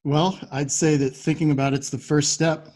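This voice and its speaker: Male American interviewer, slightly high voice, conversational podcast host delivery. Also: little pitch movement, normal speed, a little mellow. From Philadelphia, United States.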